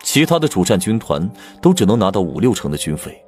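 An actor playing a grave, troubled imperial prince in an audiobook drama, speaking candidly with measured emphasis. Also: male voice, low-pitched, big pitch swings, a little mellow, soft background music. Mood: weary, confiding.

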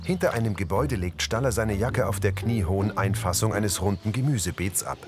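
A man's voice: on the low side, a little fast, over loud music. A man reading a scripted audio description of a drama, narrating scenes in the present tense.

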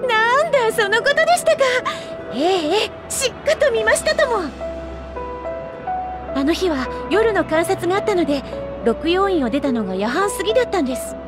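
A bubbly young female researcher in an anime-style video game, with an extremely high voice. She is chatty, earnest and eager to please, speaking politely and expressively. Loud music runs underneath. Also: expressive, quick pace. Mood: excited storytelling. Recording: studio voice over loud music.

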